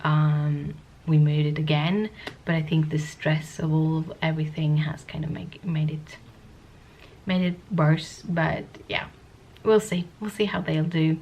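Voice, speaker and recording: A woman vlogger with a sniffly cold, chatting to the camera in a soft-spoken, rambling, hesitant way. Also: deep voice, flat delivery, unhurried, a little hiss or hum. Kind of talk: casual talking-to-camera vlog.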